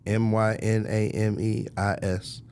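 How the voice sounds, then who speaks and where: A man's voice: fairly low pitch, level tone, unhurried, a little mellow, clean tone. An American man being interviewed as a record executive, talking casually in slang with lots of conversational tags.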